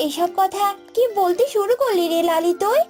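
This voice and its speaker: Woman as a high-voiced motherly cartoon cow. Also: moderate pace, darkish tone, faint music behind. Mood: scolding. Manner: chiding, pleading, motherly. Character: protective mother cow, scolding yet tender. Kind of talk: children's cartoon dialogue.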